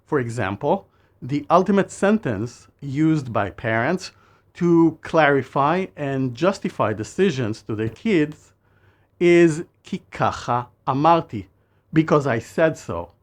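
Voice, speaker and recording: Guy explaining words, measured scripted narration, slows down on the bits. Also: fairly high pitch, expressive. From Israel. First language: Hebrew. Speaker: language teacher.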